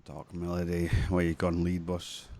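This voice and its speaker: A man's voice: low voice, completely flat delivery, normal speed, a little mellow. Chatty man with a cold. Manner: chatty, rambling, self-correcting. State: has a cold, sniffly. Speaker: music producer and tutor. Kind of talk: casual screen-share tutorial.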